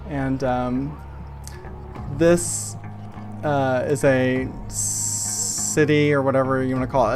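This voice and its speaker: A man improvising tabletop game narration as game master, halting as he searches for his words. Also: mid-range voice. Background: music.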